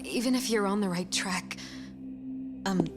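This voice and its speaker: An actress playing a reserved, evasive schoolteacher in an English-dubbed game drama, terse and guarded with a soft, breathy voice. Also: female voice, mid-range voice, measured pace, music in the background, close to an everyday voice.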